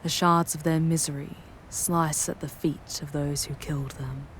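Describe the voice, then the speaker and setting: A woman voicing a charming, darkly seductive demoness in a scripted ASMR roleplay, speaking in measured, ornate, ominous phrases that drift toward breathy near-whispers. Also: low voice, fairly even intonation, a little hiss or hum. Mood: ominous, solemn. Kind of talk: scripted ASMR audio roleplay, dramatic monologue.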